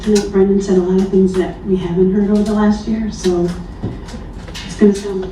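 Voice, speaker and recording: Woman with a dark, flat voice. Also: mid-range voice, brisk, slight background noise. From New Lebanon, New York. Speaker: public commenter. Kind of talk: reading a prepared public comment. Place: town hall meeting room. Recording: echoey distant lo-fi hall recording.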